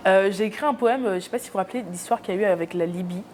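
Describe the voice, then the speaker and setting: A woman's voice: mid-range voice, racing, a little mellow, a little hiss or hum. A young woman from Cameroon, a YouTuber guest in a casual interview, talking with many hesitations.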